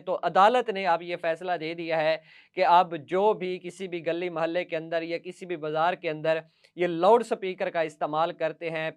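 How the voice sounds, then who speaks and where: A Pakistani-accented man delivers news commentary in a high, clear voice. He addresses viewers directly and leans on emphatic, repeated lists to press each point. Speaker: news presenter.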